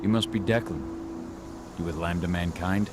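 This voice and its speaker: An actor playing a gruff, terse and dutiful exiled fighter in a video game. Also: male voice, deep voice, normal speed, noisy background, close to an everyday voice. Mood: businesslike. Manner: terse, stoic. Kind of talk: video game cutscene acting.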